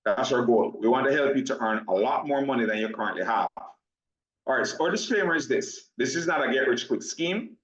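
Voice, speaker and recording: A middle-aged man with a Jamaican accent giving a measured, emphatic sales presentation on a video call, repeating his key points. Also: medium pitch, animated, fairly bright tone. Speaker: presenter of a business opportunity. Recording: roomy dull video-call audio.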